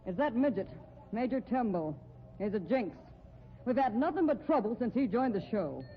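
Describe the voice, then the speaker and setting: A woman's voice: on the high side, animated, moderate pace, slight background noise. A bearded lady from a circus sideshow in a 1950s radio play. She frets and complains earnestly on behalf of others. The old recording sounds slightly dull. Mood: fretful. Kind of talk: 1950s radio drama acting.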